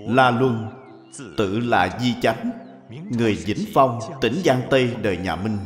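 A man's voice: mid-range voice, measured pace. A man narrating a scripted story as the narrator of a cartoon. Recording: echoey long reverb over music.

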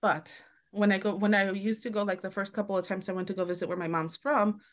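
A woman's voice: mid-range voice, flat delivery, fast talker. A woman from the United States, a podcast guest talking casually and at length, often restarting sentences and acting out the people she quotes. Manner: chatty, many restarts, acts out quoted voices. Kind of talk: casual interview chat.

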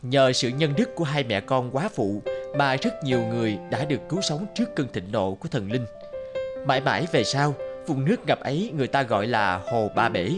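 Guy narrating a folk tale for a cartoon, medium pitch, loud music behind him. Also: animated, fairly quick. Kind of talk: scripted fairy-tale narration.

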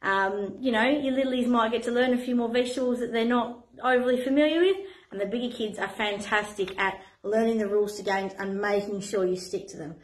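Woman, thirties, playgroup leader, fairly high voice, encouraging, echoey room. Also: brisk, hardly any pauses. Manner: chatty and encouraging, with filler ums. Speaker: playgroup facilitator. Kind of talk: online playgroup lesson, talking to camera. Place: indoor play room. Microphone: camera at a few metres.

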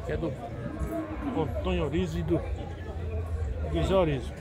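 A man with a Northeast Brazilian accent tours outdoor cattle pens with a phone, pitching each lot in a lively, repetitive patter. His voice sits high. A busy crowd murmurs all around him. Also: normal speed. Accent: Northeast Brazilian Portuguese. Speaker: cattle fair video host. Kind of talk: walking tour, calling out cattle prices. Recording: crowd noise behind.

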